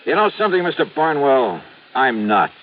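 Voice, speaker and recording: An actor playing a wry, skeptical, hard-boiled insurance investigator in an old radio detective drama. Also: male voice, mid-range voice, sing-song, moderate pace, a little hiss or hum, natural voice. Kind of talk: 1950s radio detective acting, first-person narration. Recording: tinny old radio recording with music.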